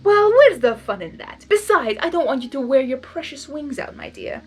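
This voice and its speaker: A woman's voice: high voice, sing-song, normal speed, darkish tone, soft background music, pitched above the performer's own voice. Theatrical, teasing male trickster creature, voiced by a woman. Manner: theatrical, coaxing. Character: teasing, gallant male draconequus trickster, doting on his date.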